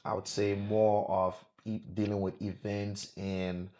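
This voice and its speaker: A man with a Cameroonian accent chats as a podcast guest. His voice is low, and he talks in halting stretches, repeating phrases for emphasis. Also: flat delivery, dark tone. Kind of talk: casual interview chat.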